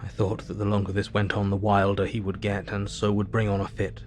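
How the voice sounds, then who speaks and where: An actor playing a stern, measured asylum doctor narrating his account formally in an audio drama. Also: lowish voice, monotone, natural voice. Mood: stern, clinical.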